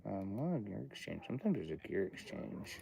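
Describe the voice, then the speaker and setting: Man in his late thirties, low, warm tone, rambling livestream host in a home studio. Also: sing-song, fairly quick, gravelly, a little hiss or hum. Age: 30s. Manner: rambling, thinking aloud, chatting to viewers. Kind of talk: casual livestream chat.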